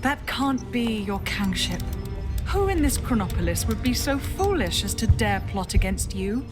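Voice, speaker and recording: A woman's voice: fairly high pitch, sing-song, moderate pace, music in the background. An actress playing a fawning female servant to a tyrant, in a video game.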